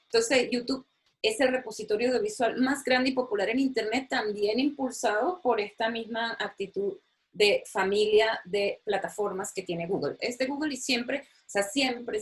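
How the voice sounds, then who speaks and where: A woman teaches a recorded university lecture from her computer. She talks in a chatty, enthusiastic way. She often repeats words and uses many fillers. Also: medium pitch. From Chile. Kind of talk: recorded online lecture with screen sharing.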